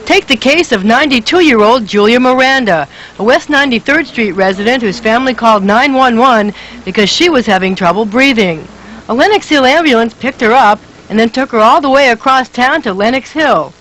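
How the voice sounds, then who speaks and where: A woman's voice: on the high side, expressive, a little fast, a bit bright, a little hiss or hum, rough recording. A woman reporter reading a scripted investigative news story with emphatic, dramatic delivery.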